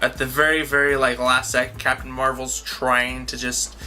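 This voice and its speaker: Very low, bright-voiced woman. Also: level tone, normal speed. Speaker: co-host of a film review. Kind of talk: casual two-person chat review.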